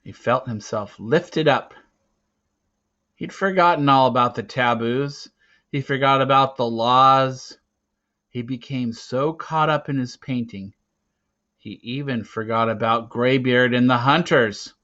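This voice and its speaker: A man reading a story aloud as narrator, with a dramatic, expressive delivery. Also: medium pitch, measured pace. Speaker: reader of a children's novel. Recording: dull, lo-fi recording.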